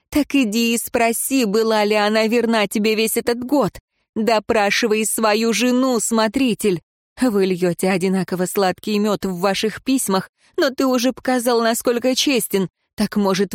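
A woman voicing a defiant female prisoner who mocks and screams at her jailer in a high, sneering voice. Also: no room sound, clean studio sound, lightly acted.

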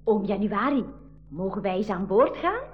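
A woman's voice: mid-range voice, expressive, normal speed, natural voice. A woman playing a curious, polite but outspoken young girl in an educational radio drama, with a Flemish accent and an inquisitive manner. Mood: interested, puzzled. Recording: dull 1980s radio drama recording.

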